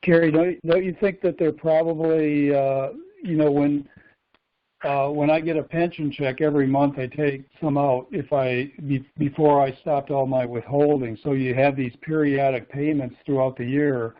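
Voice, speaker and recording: A man calling in to a conference call, asking halting questions with frequent "uh". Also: on the high side, fairly even intonation. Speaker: caller. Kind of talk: casual conversation. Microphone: phone. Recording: tinny phone line.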